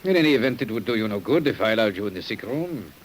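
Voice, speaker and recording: A man playing a firm, formal doctor with a measured, authoritative manner in an old radio drama. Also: lowish voice, lively intonation, close to an everyday voice. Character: firm, formal hospital doctor refusing access. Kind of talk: 1950s radio drama acting. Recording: hissy old radio recording.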